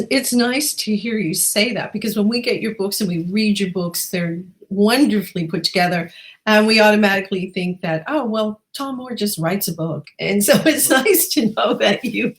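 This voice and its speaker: A woman's voice: medium pitch, moderate pace, fairly bright tone. A woman interviewing in a casual, conversational way, encouraging and affirming.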